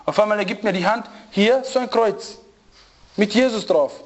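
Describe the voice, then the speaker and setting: Man, high-pitched voice, informal religious teacher, lively storytelling, restarts phrases. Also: normal speed, lo-fi sound. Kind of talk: informal religious lesson with anecdotes.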